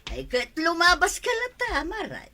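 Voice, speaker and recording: A woman's voice: very high voice, huge pitch swings, unhurried, sharp tone, faint music behind, full character voice. An actress with an Ilocano accent playing a quarrelsome, easily offended village woman in a radio comedy drama. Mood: sullen.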